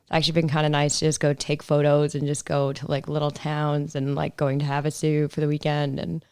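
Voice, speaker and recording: Canadian woman in her 30s, a bit hoarse, upbeat storytelling, smooth voice. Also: sits low, completely flat delivery, a little fast, a little mellow, dry recording, studio quality. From Canada, southern Alberta. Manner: upbeat storyteller, laughs often. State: slightly hoarse. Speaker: guest, supermoto racer. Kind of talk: casual interview chat.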